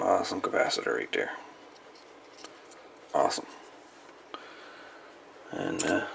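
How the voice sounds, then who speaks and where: A man with a lowish, heavily fry-laden voice talks off the cuff like a hobbyist presenting his finds. His delivery is folksy and casual, with no script. The amateur recording carries a light layer of noise. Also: fairly bright tone. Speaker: hobbyist video host. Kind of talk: casual show-and-tell of salvaged radio parts.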